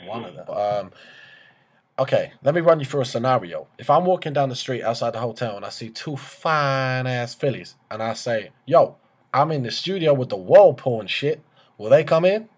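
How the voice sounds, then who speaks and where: Man, 20s, brash prank caller, loose slangy chat, cheeky swagger, medium pitch. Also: lively intonation, moderate pace, breathy edge. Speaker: prank caller and video host. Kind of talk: joking casual phone chat in a put-on swaggering slangy way. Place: small room indoors.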